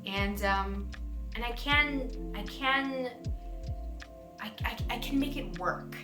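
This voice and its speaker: A woman's voice: a little high, takes it slowly. A young adult woman, a voice actor, chatting casually and answering fan questions in a bubbly, giggly, self-deprecating way. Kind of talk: casual vlog Q&A. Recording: roomy echo with music behind.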